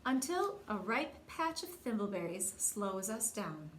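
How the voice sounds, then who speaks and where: A woman's voice: medium pitch, unhurried. A woman reading a picture book aloud to young children as a storyteller, expressively and with questions to her listeners. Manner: expressive, acts out dialogue, asks listeners questions. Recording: roomy echo, faint background noise.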